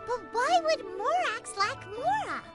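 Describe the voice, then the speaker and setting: A woman voicing a small, excitable, cheeky childlike fairy companion in a video game, with squeaky, exclamatory delivery. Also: unhurried, over loud music, heavily acted. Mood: teasing. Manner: exclamatory, refers to self in third person.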